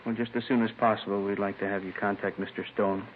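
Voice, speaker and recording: An actor playing a steady, businesslike police captain with an authoritative, matter-of-fact manner in a 1950s radio drama. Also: male voice, fairly low pitch, fast talker, lightly acted. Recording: narrow, noisy old 1950s radio recording.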